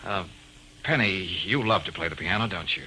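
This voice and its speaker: A breezy private eye, low animated voice, old radio mystery with music. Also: male voice, moderate pace, a little hiss or hum, exaggerated voice, low-quality recording. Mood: coaxing. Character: breezy, firm male private detective. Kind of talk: 1940s-50s radio acting.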